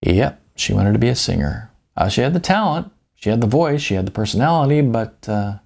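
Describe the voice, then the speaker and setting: A man voicing a likable retired salesman and father in an audiobook, with a folksy, halting, conversational manner. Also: mid-range voice, expressive, vocal fry, darkish tone. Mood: wistful, musing.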